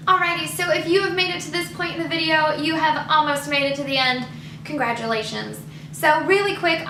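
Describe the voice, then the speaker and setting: A young woman talks straight to camera in a chatty, expressive way, her voice bright and a little high. The room around her rings with echo, and a faint hum sits underneath. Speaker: middle school teacher. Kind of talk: casual vlog to camera. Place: living room by a fireplace, indoors.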